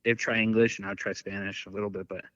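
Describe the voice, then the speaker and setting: A man's voice: on the low side, level tone, fast, a bit bright, no echo. Man rambling in dull, dry lo-fi audio. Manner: rambling, filler-heavy storytelling. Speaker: podcast guest. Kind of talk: casual chat.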